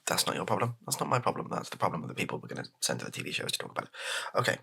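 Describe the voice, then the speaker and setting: A man playing a flustered, placating political advisor in an improvised ASMR comedy roleplay, speaking softly in a hesitant, stammering, deadpan way. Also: lowish voice, very fast, voice pushed up, heavily acted. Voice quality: soft-spoken, gravelly, breathy. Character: flustered, placating male political spin doctor advising his boss.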